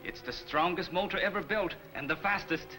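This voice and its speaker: An actor playing a loyal, devoted valet and inventor, formal and deferential, in 1940s film serial style. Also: male voice, sits high, fairly quick, close to an everyday voice. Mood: courteous, proud. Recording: old film soundtrack, faint music behind.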